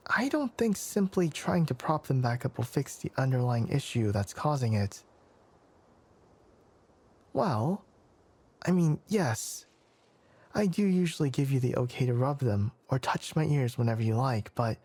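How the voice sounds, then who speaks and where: A man playing a flustered, formal young fox-eared forest scholar in an intimate ASMR anime roleplay, hesitant and stammering, often slipping into a breathy whisper. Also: medium pitch, sing-song, measured pace, pauses often, a little mellow, dry recording, heavily acted. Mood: flustered, protesting.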